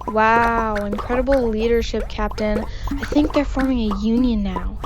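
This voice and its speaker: Woman playing a sarcastic, high-voiced spaceship crewmate. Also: steady tone, moderate pace, over music, natural voice. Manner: sarcastic, dry. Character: sarcastic, resourceful female spaceship gunslinger. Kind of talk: sci-fi comedy audio drama acting.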